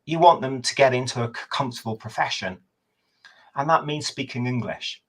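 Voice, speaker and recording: A man, a history professor, giving a measured, thoughtful explanation over a video call, sometimes correcting himself mid-sentence. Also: medium pitch. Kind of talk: explanatory talk in a webinar.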